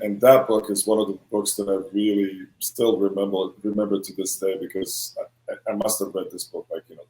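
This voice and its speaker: Low-voiced hesitant man, echoey room. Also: darkish tone. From Croatia. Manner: hesitant, many fillers and restarts. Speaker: children's book author, panelist. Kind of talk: panel discussion answer.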